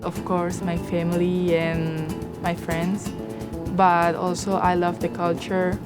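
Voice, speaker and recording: A young woman with a Spanish accent talks in a low, level voice. Her manner is straightforward and fond, and music plays behind her. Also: completely flat delivery, slow. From Spain. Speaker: college basketball player. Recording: music bed under the voice.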